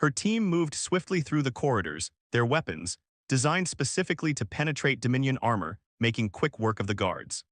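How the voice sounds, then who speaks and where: A man's voice: mid-range voice, big pitch swings, brisk, hardly any pauses, fairly bright tone, no echo. Male narrator with a very dry, close sound. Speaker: audiobook-style narrator. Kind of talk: dramatic scripted sci-fi story narration.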